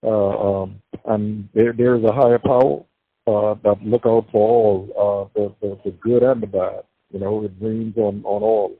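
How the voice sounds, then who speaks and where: Older man, fairly low voice, halting and devout, tinny phone line. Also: little pitch movement, husky, dry recording. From Bahamas. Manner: halting, many fillers and repeats, devout. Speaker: interview guest, prisoner. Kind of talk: casual interview talk. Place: prison. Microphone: prison phone call.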